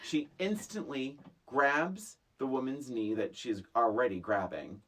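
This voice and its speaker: A man's voice: mid-range voice, animated, measured pace, fairly bright tone. A man co-hosting a podcast, chatting casually and sarcastically as he recaps a film. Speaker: podcast co-host.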